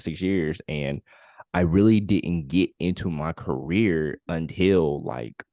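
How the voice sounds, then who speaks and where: Deep-voiced young man, casual podcast chat. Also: no room sound, studio quality. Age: 20s. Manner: relaxed and candid, drawn-out storytelling with filler words. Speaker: podcast co-host.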